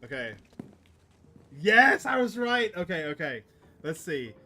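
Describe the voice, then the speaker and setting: A man's voice: high-pitched, sing-song, slow, sharp tone. A man giving casual game commentary as a streamer, muttering half-thoughts and reading on-screen story text aloud. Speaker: streamer playing a visual novel.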